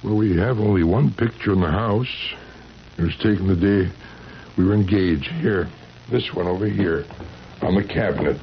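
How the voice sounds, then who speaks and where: A very low, creaky-voiced husband of seventy in a vintage radio play. He is worn out and distressed, halting as he quietly insists. The old recording is dull with a steady noise. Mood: tired, insistent. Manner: halting, repeating himself. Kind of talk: 1970s radio drama acting.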